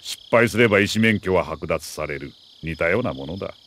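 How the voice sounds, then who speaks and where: An actor playing a middle-aged army major who briefs an agent in a crisp, authoritative, deliberate way in a video game. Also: male voice, sits low, expressive, a bit bright, a little hiss or hum. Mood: grave, commanding. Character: male military major, mission commander, precise and earnest. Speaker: mission commander briefing an agent.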